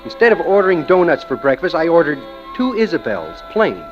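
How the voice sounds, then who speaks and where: A man's voice: on the high side, very animated, fairly quick. An actor with an American accent playing a smitten, obsessive bank clerk who narrates his own story in a 1940s radio drama. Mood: brooding, confiding. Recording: muffled old radio recording with music.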